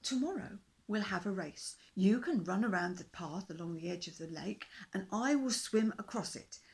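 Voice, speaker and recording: A woman voicing the small male king of the fishes in a storybook reading, speaking high and earnestly. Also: animated, normal speed, darkish tone, close to an everyday voice. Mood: earnest, pleading. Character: small worried but brave male fish king.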